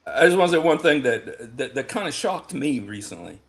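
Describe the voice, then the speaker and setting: A man talks in a casual online panel with an everyday mid-range pitch. He is emphatic and excitable, tripping over his words and repeating phrases as he pushes his point. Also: a little fast, vocal fry, a crowd in the background. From United States. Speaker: panel guest.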